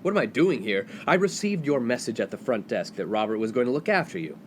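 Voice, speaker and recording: A man's voice: medium pitch, sing-song, fast, a little hiss or hum, natural voice. A man playing a snobbish, scolding upper-class college student in a 1950s radio comedy. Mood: indignant, scolding. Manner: pompous, scolding. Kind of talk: comedic radio play acting.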